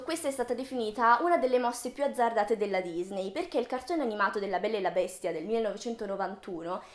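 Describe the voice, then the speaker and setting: A woman in her early twenties giving expressive, ironic film commentary to camera in a casual but prepared style. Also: fairly high pitch. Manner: expressive, theatrical, ironic asides. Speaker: video essayist, film commentator. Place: small room with bookshelves, indoors. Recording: roomy indoor echo.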